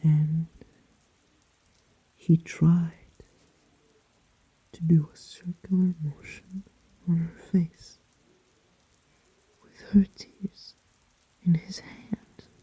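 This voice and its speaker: Very slow, breathy, whispering woman storyteller. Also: on the low side, steady tone. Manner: hushed, drawn-out phrases with long pauses. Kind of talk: ASMR bedtime story narration. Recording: muffled sound with rain behind. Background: soft rain.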